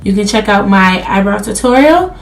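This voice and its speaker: A woman's voice: medium pitch, expressive, moderate pace. A woman beauty vlogger chatting casually to viewers through a makeup tutorial, her voice slightly crackly as she is losing it while under the weather. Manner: chatty, addresses viewers directly.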